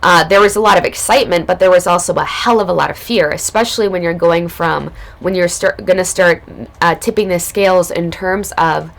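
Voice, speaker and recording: A woman recovering from illness, her voice hoarse and raspy, telling a personal spiritual story in a casual, loose way as a podcast host. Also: lowish voice, a little fast. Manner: casual, loose, spiritual talk with many fillers.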